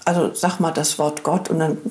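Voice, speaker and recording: A woman's voice: sits low, flat delivery, moderate pace, a little mellow, a little hiss or hum. Earnest woman in her sixties musing. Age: 60s. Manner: earnest, musing, many hesitant fillers. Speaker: podcast guest, body therapist. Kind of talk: reflective conversational interview. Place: lives in Hamburg.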